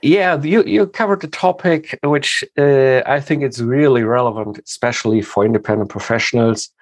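Male host, German accent, halting delivery, dry close sound. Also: mid-range voice, dry recording. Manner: halting, searching for words. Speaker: podcast host. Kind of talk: interview.

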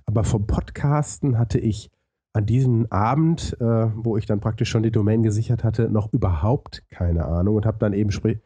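A man's voice: fairly low pitch, normal speed, dark tone, husky, no room sound. A man with a lisp telling his story as a podcast interview guest, explaining point by point with frequent hesitations.

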